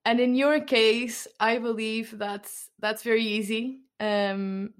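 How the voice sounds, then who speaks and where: A woman hosting an interview, earnest and soft-spoken, with hesitant ums. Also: mid-range voice, clean tone. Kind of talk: interview host.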